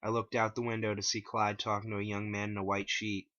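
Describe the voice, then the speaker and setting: Forceful man reading a story aloud. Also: lowish voice, flat delivery, fast, dry recording, muffled recording. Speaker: author reading his story. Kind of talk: dramatic first-person story reading.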